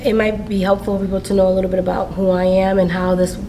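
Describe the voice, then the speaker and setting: A woman's voice: mid-range voice, fast. A woman from the Dominican Republic, telling her life story as a council candidate in an interview. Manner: earnest, steady storytelling. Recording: noisy background.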